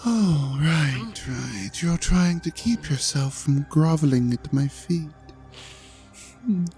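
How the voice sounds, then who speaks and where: A performer voicing a seductive, smug ancient dragon god in an intimate audio drama, teasing and coaxing the listener with breathy, half-whispered phrases. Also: medium pitch, lively intonation, takes it slowly, mellow, soft background music. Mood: amused, teasing.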